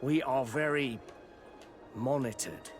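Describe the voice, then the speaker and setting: A man's voice: mid-range voice, takes it slowly, close to an everyday voice. A man playing a courteous, fearful shopkeeper in a video game, hesitant and guarded as he talks. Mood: nervous, guarded. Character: fearful, courteous male shopkeeper under threat. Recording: music behind the voice.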